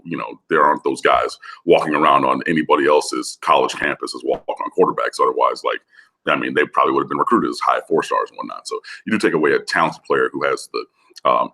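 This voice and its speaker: A man with an extremely low, gravelly voice, hoarse from shouting, talks about football in a loose, rambling way. He leans on fillers and restarts, and his voice comes through a phone line. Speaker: guest analyst. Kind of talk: casual sports chat over the phone.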